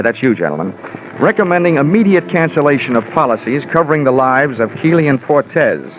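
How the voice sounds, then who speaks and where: An actor playing a wry, hard-boiled American insurance investigator, narrating dryly and colloquially in 1940s radio detective style. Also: male voice, mid-range voice, lightly acted. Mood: dry, droll. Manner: dry, sardonic, colloquial. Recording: muffled old radio recording with music.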